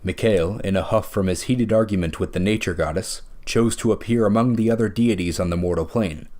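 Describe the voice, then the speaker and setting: A man's voice: fairly low pitch, brisk, a little mellow, close to an everyday voice. A man as storybook narrator, reading scripted fantasy narration about a pantheon of gods. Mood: expository.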